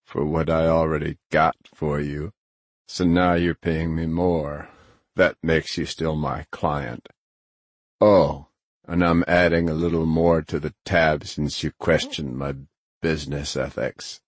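A man voicing a gruff, sardonic, middle-aged male hacker in an audiobook, with a rumbling, blunt, needling delivery. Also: flat delivery, slow pace, dry recording, full character voice.

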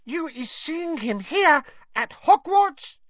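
A man voicing a tearful, devoted female house-elf who squeaks and wails in broken grammar, crying. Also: sing-song, slow, a bit bright, no room sound, highs cut off, heavily acted. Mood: breathless. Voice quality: squeaky, wailing. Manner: broken grammar, sobbing outbursts.

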